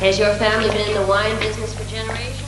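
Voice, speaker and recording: A woman's voice: mid-range voice, little pitch movement, rapid-fire, close to an everyday voice. A woman playing a polite, inquisitive television news reporter in an audio drama. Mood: pleasant, wrapping up. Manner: crisp questions, polite. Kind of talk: audio drama acting as interviewer. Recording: very echoey room, faint music.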